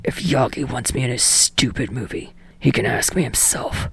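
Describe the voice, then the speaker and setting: A woman voicing a gruff, sneering young man with a low growl and a curt, scornful manner. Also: moderate pace, no room sound, full character voice. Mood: scornful, irritated. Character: gruff, sneering, foul-mouthed young man, a surly actor.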